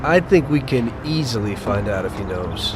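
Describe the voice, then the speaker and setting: An actor playing a wary, tough 1930s gangster in a video game, talking tersely and dryly with music behind him. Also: male voice, lowish voice, lively intonation, normal speed, background noise, natural voice. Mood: firm, wary.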